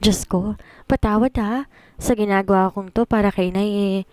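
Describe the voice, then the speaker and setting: A woman's voice: mid-range voice, fairly even intonation, moderate pace, mellow, clear, resonant tone, no room sound, close to an everyday voice. A woman voicing a nervous young bride, fretful and pleading but determined, in a Filipino romance audio story. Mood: anxious.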